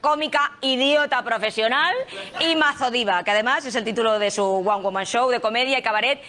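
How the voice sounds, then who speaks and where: A woman's voice: fairly high pitch, a little fast, sharp tone. A woman introducing a comedian on stage in a playful, hyped showbiz manner. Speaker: stage announcer. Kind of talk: show introduction.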